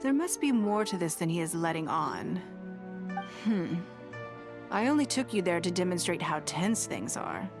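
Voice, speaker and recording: A woman's voice: on the low side, lively intonation, moderate pace, music in the background. An actress playing a shrewd, poised noblewoman in a video game, composed and authoritative. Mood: thoughtful, decisive. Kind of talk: scripted video game dialogue.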